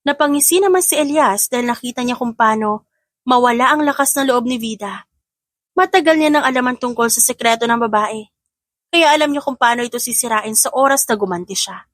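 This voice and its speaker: Highish-voiced woman narrating a romance. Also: brisk, a bit bright, no room sound, studio quality. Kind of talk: scripted romance story narration.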